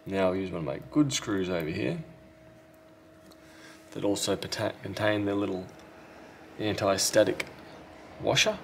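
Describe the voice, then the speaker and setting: Low-voiced, gravelly man thinking aloud. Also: measured pace, long pauses, a little hiss or hum. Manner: thinking aloud, tentative, self-correcting. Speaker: repair technician, video host. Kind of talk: casual hands-on repair commentary. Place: indoors at a repair workbench.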